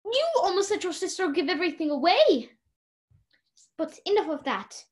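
High, animated radio-play villainess played by a young woman, a polished society lady who takes charge and schemes. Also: moderate pace. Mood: stern, conspiring. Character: Lady Eleanor, commanding, scheming society lady hiding her crimes.

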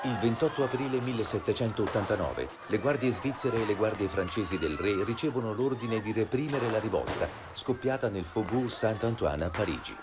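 A man reading scripted documentary narration in a measured, even delivery. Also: on the low side, background noise. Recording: voice-over with music underneath.

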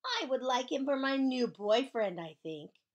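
A woman voicing a chatty, bossy, excitable kindergarten girl who tells her own story in childlike, emphatic speech for a children's audiobook. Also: high-pitched, a little slow, dull audio, pitched above the performer's own voice. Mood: smitten, excited. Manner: childlike grammar, emphatic, dramatic. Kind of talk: first-person children's audiobook narration.

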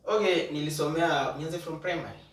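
Fast-talking Kenyan young man, animated. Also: fairly high pitch. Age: young adult. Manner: animated, playful, code-switching, gestures a lot. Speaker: guest content creator. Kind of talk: casual interview chat. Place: small indoor room with kitchen cabinets. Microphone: far camera. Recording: echoey room, distant mic.

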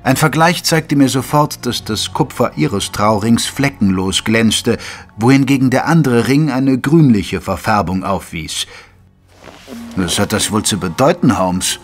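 A man playing an earnest Victorian doctor who narrates a detective audio drama in a measured, literary way. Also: medium pitch, lively intonation, soft background music, natural voice. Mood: puzzled. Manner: measured, literary storytelling delivery. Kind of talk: scripted German audio drama narration with dialogue.

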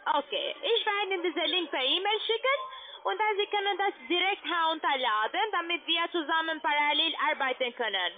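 A very high-voiced woman speaks over a thin, tinny phone line. She is a non-native call-centre agent who gives halting instructions in broken grammar. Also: expressive, a little hiss or hum. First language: not German. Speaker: phone scam caller posing as investment firm agent. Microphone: telephone.

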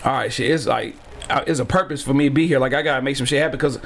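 A man's voice: mid-range voice, racing, creaky, a crowd in the background. A man in his late thirties hosting a podcast in a small home studio, talking in a laid-back, slangy way. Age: 30s. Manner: laid-back, slangy, frequent "you know what I'm saying". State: sore back and neck after car crash. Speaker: podcast host. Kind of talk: casual interview chat. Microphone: studio mic on boom, headphones.

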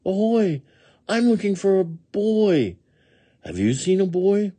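A man's voice: high-pitched, sing-song, slow, dark tone, breathy edge, voice pushed up, heavily acted. A man voicing an earnest young puppy in a storybook, searching for his boy. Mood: eager, hopeful.

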